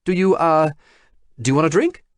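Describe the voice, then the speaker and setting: A man voicing a bashful young man in an audiobook who is eager to please. He stammers and fumbles in a flustered, raised voice that jumps around in pitch. The sound is dry and close. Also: animated, moderate pace, no room sound, natural voice.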